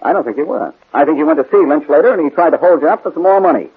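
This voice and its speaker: A man's voice: a little high, lively intonation, very fast, a little hiss or hum, lightly acted. A man playing a confident, wisecracking amateur detective in a 1940s radio crime drama, glib and pressing in his questioning. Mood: pressing, accusing. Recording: muffled narrow-band vintage 1940s radio recording.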